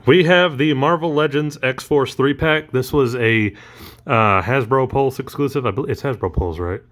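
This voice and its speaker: A man's voice: mid-range voice, a bit bright. A man talking casually through a toy unboxing, rambling with lots of ums and uhs, his voice recently back after allergies.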